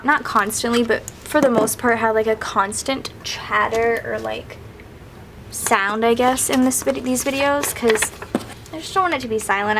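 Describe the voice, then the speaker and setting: A woman's voice: a little high, noisy background. Chatty sniffly young woman vlogger. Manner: chatty, rambling, upbeat. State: sniffly from crying. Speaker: planner vlogger. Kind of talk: casual craft vlog chat.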